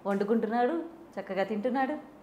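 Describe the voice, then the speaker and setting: Higher-voiced woman interviewer, echoey room. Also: fast talker, darkish tone. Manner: agreeable, echoing the guest. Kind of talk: conversational interview.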